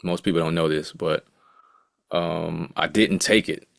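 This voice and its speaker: Deep-voiced laid-back man. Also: fairly even intonation, unhurried, vocal fry, no room sound, clean studio sound. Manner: laid-back, rambling, full of asides. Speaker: guest, music producer and engineer. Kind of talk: casual interview talk.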